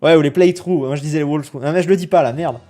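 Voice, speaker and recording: A young man reacting to his own old video on a live stream, animated, exclamatory and self-mocking. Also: fairly high pitch, fast.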